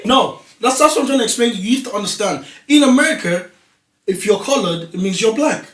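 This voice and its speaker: Man, assertive, talk show guest, fairly high voice, distant mic, echoey room. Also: lively intonation, fast talker, a bit bright. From Nigeria. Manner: assertive, talks over others to make his point. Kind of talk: casual group discussion. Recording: roomy studio, voice heard from a distance.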